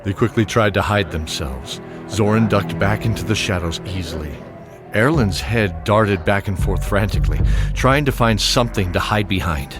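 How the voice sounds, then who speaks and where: Man narrator, low voice, steady descriptive reading, loud score behind. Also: moderate pace, over music. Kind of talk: scripted fantasy audio drama narration.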